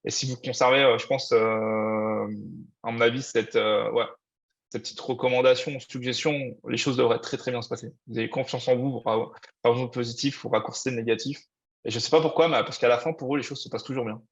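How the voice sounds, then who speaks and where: A man's voice: medium pitch, fairly even intonation, brisk, highs cut off. A man from France speaking as a panelist on a webinar, rambling and dropping fillers.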